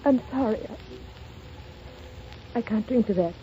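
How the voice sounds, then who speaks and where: An actress playing a young shop girl posing as a rich lady, with a refined, gently teasing manner, in a 1930s radio drama. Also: fairly high pitch, a little slow, close to an everyday voice. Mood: confessional. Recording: lo-fi old radio broadcast with music.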